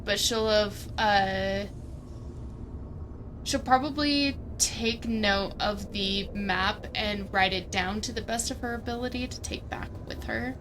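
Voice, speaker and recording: A woman's voice: medium pitch, slow, a bit bright. A woman chatting casually as a player in a tabletop game, tentatively thinking aloud as she describes what her characters do. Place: online livestream video call. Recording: clearly noisy background.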